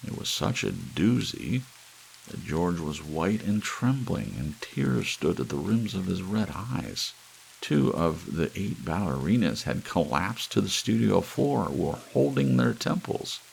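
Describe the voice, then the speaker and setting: A man narrating a scripted story as an ASMR audiobook, with soft rain behind him. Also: sits low, sing-song, a little slow, creaky, poor audio. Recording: faint rain hiss throughout.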